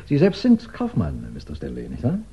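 An actor playing a courteous, probing detective who questions witnesses methodically in a 1960s German radio crime drama. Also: male voice, rumbling low, wildly swooping pitch, brisk, slightly rough, heavily acted. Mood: polite, insistent. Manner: methodical, polite, insistent questioning. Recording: dull 1960s radio recording.

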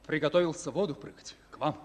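An actor playing an eager, excitable junior army officer in a wartime radio drama. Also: male voice, on the high side, fast talker, close to an everyday voice. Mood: relieved. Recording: distant, noisy, reverberant old radio stage.

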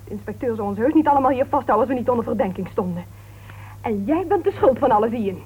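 A woman's voice: fairly high pitch, lively intonation, quick pace, husky. An actress playing an indignant, self-satisfied woman crime novelist in a radio play, scornful and argumentative. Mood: indignant, accusing. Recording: tinny, noisy old radio play recording.

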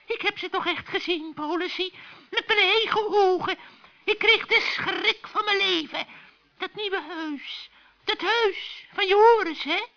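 Old female forest witch for a children's radio play, played by a man: extremely high, piercing, panicky, wheedling; dull vintage recording. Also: slow pace, gravelly, bright, forceful tone, exaggerated voice. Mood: shaken. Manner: panicky, pleading, stammering.

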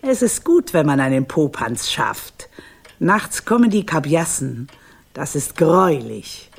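A scornful, scheming old witch of a grandmother for a radio play. Her voice is low and husky, and she speaks with haughty, sardonic bite. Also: very animated, slow, full character voice. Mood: grumbling, scheming. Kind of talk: German radio play acting.

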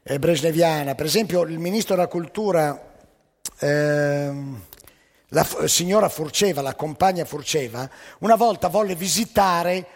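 A man telling satirical anecdotes as a stage monologue, with dramatic pauses and emphatic delivery. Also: fairly high pitch. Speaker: stage storyteller. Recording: live theatre hall with long reverb.

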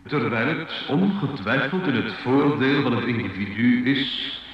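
A man's voice: mid-range voice, animated, unhurried, close to an everyday voice. An actor playing a brutal, foul-mouthed military officer in a radio play, commanding and threatening as he declaims. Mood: declamatory. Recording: roomy, distant, dull 1980s radio recording.

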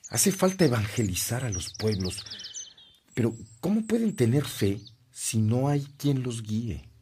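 Slow-spoken, gentle priest. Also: male voice, medium pitch, very animated, a little hiss or hum. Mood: earnest, resolute. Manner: gentle, measured, earnest. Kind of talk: radio drama acting.

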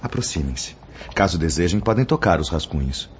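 An actor playing a calm, authoritative spirit mentor in a radio drama, speaking in a formal, didactic way. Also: male voice, deep voice, moderate pace, a little hiss or hum. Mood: instructive, grave. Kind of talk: radio drama acting, formal instructive speech. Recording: dull lo-fi audio. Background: background music.

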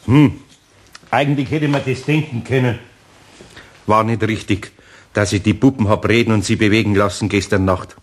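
An older man with a Bavarian accent playing a kindly old master carpenter in a radio play, grumbling slowly and good-naturedly. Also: on the low side, steady tone, slight background noise, close to an everyday voice. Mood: musing.

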